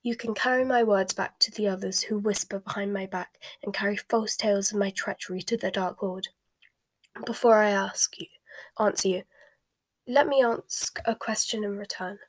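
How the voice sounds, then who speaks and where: A woman reading the lines of a cold, sardonic middle-aged male wizard in a hushed, breathy voice. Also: mid-range voice, fairly even intonation, dry recording, lightly acted. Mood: cool, sardonic. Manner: slow, sneering, measured.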